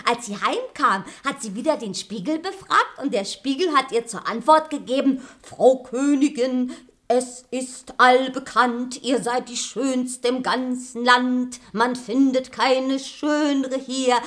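A bright, high-voiced village gossip. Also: female voice, takes it slowly. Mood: declaiming. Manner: theatrical, emphatic storytelling. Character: gossipy, excitable village woman bringing news. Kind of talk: German radio play fairy-tale acting.

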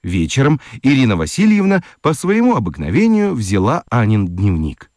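Narrator: a man with a low voice and very lively intonation, dry studio sound. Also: moderate pace, dry recording. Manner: expressive storytelling. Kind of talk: scripted narration for a children's radio play.

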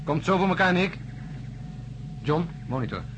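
An actor playing a seasoned astronaut in a science fiction radio drama, brisk, matter-of-fact and wry. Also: male voice, high voice, animated, dull audio. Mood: alert, brisk. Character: seasoned, brisk male astronaut in charge aboard spacecraft. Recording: noisy drama recording with cabin ambience.

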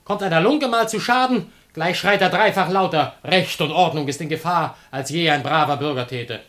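An actor playing a brash, mocking fellow with scornful, theatrical delivery in a classical comedy radio play. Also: male voice, on the high side, normal speed, a bit bright, lightly acted.

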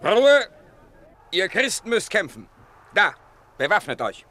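An actor playing a bossy, impatient Roman arena overseer in a radio play, barking and blunt. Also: male voice, high voice, big pitch swings, slow pace, bright, forceful tone, a little hiss or hum, heavily acted. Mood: barking orders. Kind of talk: German radio play acting.

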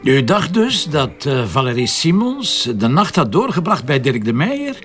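A man's voice: a little high, sing-song, moderate pace, loud music behind the voice. A man with a Flemish accent playing a probing, sceptical police commissioner in a crime drama, questioning in a dry, insistent way. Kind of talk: radio crime drama dialogue.

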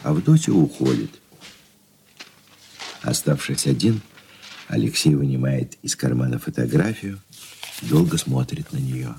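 Deep, gravelly male narrator. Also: lively intonation, a little slow, long pauses, mellow, slight background noise. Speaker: drama narrator. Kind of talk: scripted narration of stage directions.

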